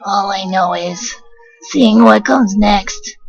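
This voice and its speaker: A woman voicing a weary, resigned male skeleton in a fan comic dub, with a gravelly voice. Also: mid-range voice, slow pace, dull audio, pitched below the performer's own voice. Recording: music under the voice.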